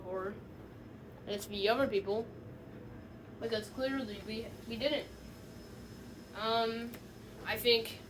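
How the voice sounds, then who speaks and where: High-voiced woman rambling through casual commentary, noisy recording. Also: a bit bright. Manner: halting, rambling, repeats and restarts phrases. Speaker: video essayist. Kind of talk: casual unscripted video essay commentary.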